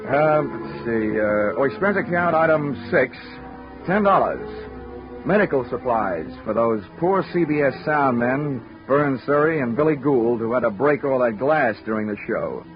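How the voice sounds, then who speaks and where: An American actor playing a brisk, impatient, wisecracking insurance investigator in a 1940s radio drama, with a wry, clipped delivery. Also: male voice, medium pitch, close to an everyday voice. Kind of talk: 1940s radio acting, first-person detective narration. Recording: dull vintage 1940s radio broadcast.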